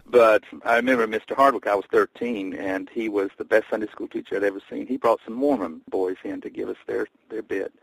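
A man with a Southern Tennessee accent talks in a gravelly, husky voice, wandering through memories in reflective, rambling stories. His voice sits fairly low, and the studio sound is close and dry with no room around it. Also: fairly even intonation, a little fast, hardly any pauses, no room sound, rough recording. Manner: rambling, reflective storytelling with soft chuckles. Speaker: musician guest. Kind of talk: radio interview reminiscing.

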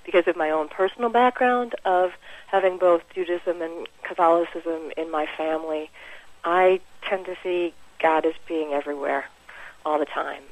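A woman's voice: sits low, low-quality recording. An American woman, a musician, speaking casually and informally as an interview guest. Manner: chuckles often, informal.

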